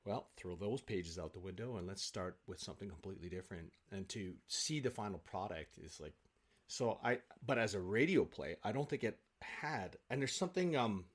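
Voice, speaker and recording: A man with a fairly low-pitched voice talks casually as a guest. He tells stories with enthusiasm and animation, often restarting a thought as he goes. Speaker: interview guest, actor.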